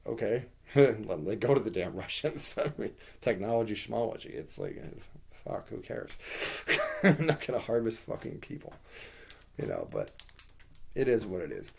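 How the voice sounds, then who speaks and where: This is a man whose voice is hoarse and rough, sitting low and dark with a gravelly edge. He rambles through a casual review, piling up fillers and the odd swear word as he speaks his mind. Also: very animated, normal speed.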